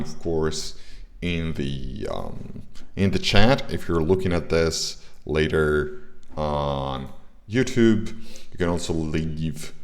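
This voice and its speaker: A young man with an Italian accent, hosting a casual game development livestream and talking with frequent hesitations. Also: extremely low pitch. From Italy. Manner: hesitant, many fillers and restarts. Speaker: indie game developer streaming.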